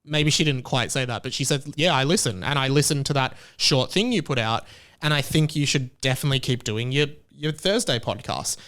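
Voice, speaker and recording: A man's voice: medium pitch, expressive, a little fast, fairly bright tone, smooth. A young Australian man hosting his own podcast, chatting casually with a chatty, self-deprecating manner. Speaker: podcast host. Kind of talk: casual solo podcast chat.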